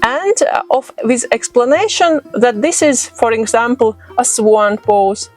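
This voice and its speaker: A middle-aged woman with a Latvian accent, a yoga expert answering interview questions in a thoughtful way, pausing often with fillers. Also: on the high side, lively intonation. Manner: thoughtful, with frequent pauses and "uh" fillers.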